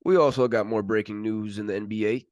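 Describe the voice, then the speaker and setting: A man co-hosting a sports podcast, relaying news in casual, informal chat with a halting delivery. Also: fairly low pitch, fairly even intonation, a little mellow, no room sound, clean studio sound.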